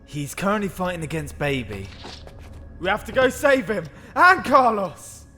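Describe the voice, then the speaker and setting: A man voicing a wounded, earnest young anime fighter with a husky voice, in a fan-made roleplay. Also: high-pitched, very animated, a little slow, sharp tone, big-room sound, faint music behind, full character voice. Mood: grave, urgent.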